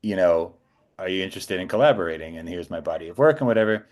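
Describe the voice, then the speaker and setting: A man's voice: deep voice, expressive, fast talker, a little mellow. A man chatting casually as a guest on a podcast, talking in an enthusiastic, emphatic storytelling way. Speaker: guest voice actor and audiobook narrator.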